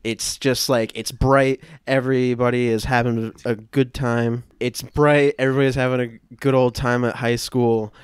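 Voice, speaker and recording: A man's voice: mid-range voice, level tone, normal speed, hardly any pauses, smooth, no echo, studio quality. A young man hosting a podcast from home, chatting casually and narrating improvised scenes. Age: young adult. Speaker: podcast host. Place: room at home, video call.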